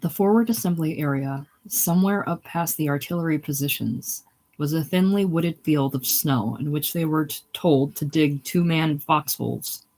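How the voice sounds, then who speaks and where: A man's voice: a little high, normal speed, a little mellow. Man reading a war novel aloud. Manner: stumbles and rereads phrases. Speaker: audiobook reader. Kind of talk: narrated reading of literary war fiction.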